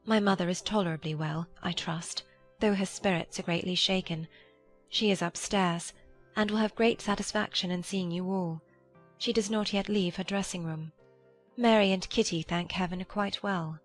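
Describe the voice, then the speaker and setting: A woman playing a gentle, hopeful young Regency sister in a dramatized audiobook, soft-spoken, composed and formal. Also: fairly low pitch, steady tone, moderate pace, faint music behind, close to an everyday voice. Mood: composed, subdued.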